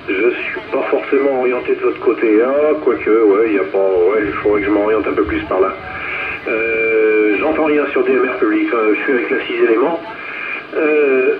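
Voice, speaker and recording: A man chatting casually as a radio operator over a two-way radio transmission. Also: on the low side. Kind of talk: casual radio contact chat. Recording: muffled, very noisy radio transmission.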